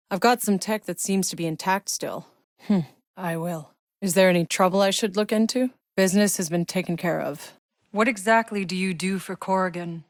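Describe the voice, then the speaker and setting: Actress as tough female sci-fi game soldier, lower-pitched, wry, blunt, naturalistic, close dry studio sound. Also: no echo, close to an everyday voice. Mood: matter-of-fact, frank. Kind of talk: video game voice lines, naturalistic acting.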